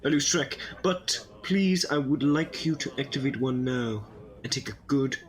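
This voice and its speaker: A man's voice: mid-range voice, lively intonation, moderate pace, close to an everyday voice. A man playing a quirky, eccentric time-traveling adventurer in an audio drama, speaking in a whimsical, rambling way. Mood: urgent, wry. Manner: whimsical, rambling, self-interrupting.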